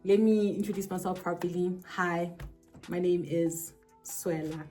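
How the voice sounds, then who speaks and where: Low-pitched young South African woman, chatty. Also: steady tone, a little slow, a little mellow. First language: non-English South African language. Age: 20s. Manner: playful, rambling asides and self-interruptions. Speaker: streamer and vlogger. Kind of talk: casual chatty vlog to camera. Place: alone in a bedroom. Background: faint music.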